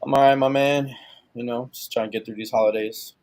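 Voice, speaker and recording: A man co-hosting a casual podcast over a video call, relaxed and slangy, joking with his partners while asking questions. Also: mid-range voice, brisk, no room sound. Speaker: podcast co-host and father.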